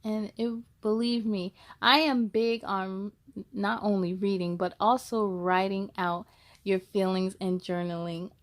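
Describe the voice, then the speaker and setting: A woman's voice: mid-range voice, steady tone, measured pace, dry recording. A woman hosting a children's read-aloud, speaking encouragingly and directly to young listeners between storybook passages. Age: adult.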